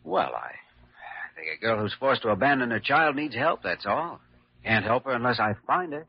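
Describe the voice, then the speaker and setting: A man acting an earnest, compassionate hospital doctor in a classic radio drama, speaking in a measured way. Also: mid-range voice, sing-song, vocal fry, breathy edge, full character voice. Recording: muffled, humming old radio recording.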